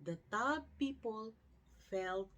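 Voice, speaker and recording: A woman reading a children's bedtime story aloud in an unhurried, expressive storyteller's manner. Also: a little high, lo-fi sound.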